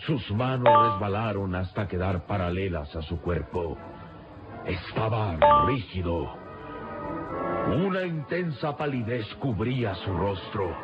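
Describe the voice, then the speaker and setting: A male narrator tells an adventure serial in old-time radio fashion. He is solemn, emphatic and suspenseful. The sound is dull and lo-fi, with loud music behind him. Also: on the low side, expressive, slow.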